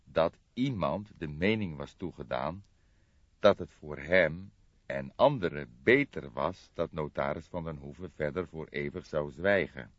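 A man voicing a calm, wry old police detective who speaks with careful deliberation, in an audiobook. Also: extremely low pitch, unhurried, dry recording, muffled recording, exaggerated voice. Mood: measured, cautious. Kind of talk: audiobook character voice in a detective story.

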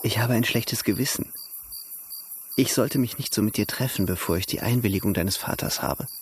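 A man's voice: on the low side, moderate pace, a little hiss or hum, lightly acted. An actor in a radio drama playing an eager, lovestruck male suitor, speaking courteously and effusively. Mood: earnest.

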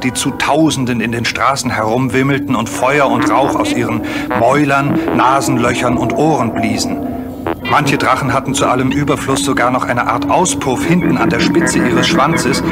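A man narrating a children's story from a script, with measured, dramatically drawn-out phrasing. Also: medium pitch. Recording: clearly noisy old recording.

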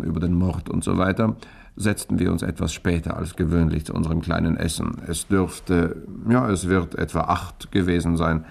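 An actor playing a wry, easygoing amateur sleuth in a 1960s German radio crime drama, with an unhurried, bantering way of talking. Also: male voice, low-pitched, gravelly, darkish tone.

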